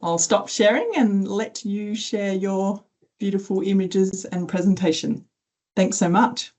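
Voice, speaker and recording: A woman's voice: mid-range voice, steady tone, unhurried, darkish tone. A woman hosting a webinar, reading a friendly introduction with occasional ums. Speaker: webinar host, team leader.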